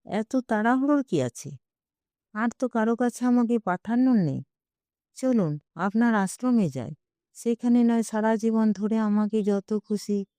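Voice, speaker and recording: A proud, wronged young princess of an audio story, played by a woman. She is bitter and defiant, yet her delivery is slow. The voice is smooth and recorded dry. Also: on the high side, no echo, pitched above the performer's own voice, close to an everyday voice. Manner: sharp-worded, defiant. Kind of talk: audio story character reading.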